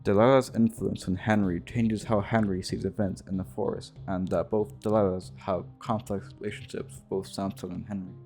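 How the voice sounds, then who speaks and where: A man's voice: low voice, flat delivery, normal speed, hardly any pauses, a little mellow. A man narrating casual, scripted video game commentary in an offhand, irreverent way. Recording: music behind the voice. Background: guitar music.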